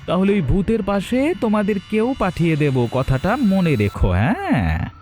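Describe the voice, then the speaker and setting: A man's voice: high voice, very animated, moderate pace, darkish tone. A man playing a greedy, murderous grown son in a horror cartoon, menacing, defiant and theatrical. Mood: cold, threatening. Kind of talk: melodramatic horror cartoon acting. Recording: faint music behind the voice.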